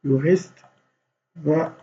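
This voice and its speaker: A man with a head cold walks through a software tutorial, talking himself through each click. His fairly high voice has a gravelly edge. The recording is dull and lo-fi, with a faint hum underneath. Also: fairly even intonation, very slow. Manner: thinks aloud step by step, constant "voilà". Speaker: software instructor.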